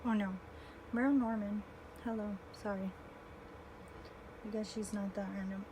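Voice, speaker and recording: A woman in her twenties chatting casually through a makeup tutorial, self-deprecating and often clearing her throat, recorded on her phone at home. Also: medium pitch, fairly even intonation, moderate pace, background noise. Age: 20s. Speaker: beauty vlogger. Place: home room with air conditioner. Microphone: phone camera.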